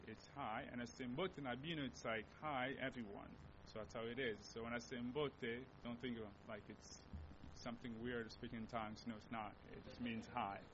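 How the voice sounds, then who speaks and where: A young man from Congo with a Congolese accent, a native Lingala speaker, preaching a sermon as a missionary guest in a church and reading scripture in an earnest, halting way that often repeats and corrects itself. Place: church sanctuary. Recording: dull lo-fi recording with faint rumble.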